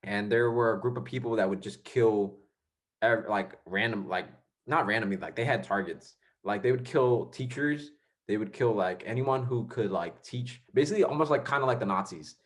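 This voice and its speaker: Young male host born in Vietnam, low-pitched, easygoing and talkative. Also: moderate pace. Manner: easygoing, chatty, self-mocking. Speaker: podcast host. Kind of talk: casual chat.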